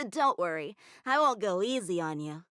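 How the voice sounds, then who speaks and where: A woman's voice: mid-range voice, very animated, a little slow, a bit bright, no room sound, clean studio sound. A woman voicing an eager, earnest teenage boy swordsman in a game, talking in a boyish, cheerful way. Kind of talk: game character voice lines.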